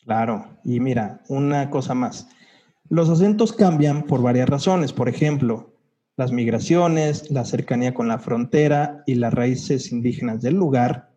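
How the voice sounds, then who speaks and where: A man from Mexico City with a Mexico City accent, co-hosting a casual chat and explaining things in a relaxed way. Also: mid-range voice, a little slow. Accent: Mexico City Spanish. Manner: explaining, relaxed, asks questions. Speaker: podcast co-host.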